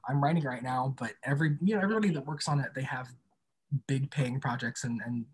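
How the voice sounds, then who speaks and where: Guy being interviewed, bit raspy mid-range voice, informal and thoughtful, just chatting. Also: mid-range voice, fast. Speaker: podcast creator and guest being interviewed. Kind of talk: casual interview chat.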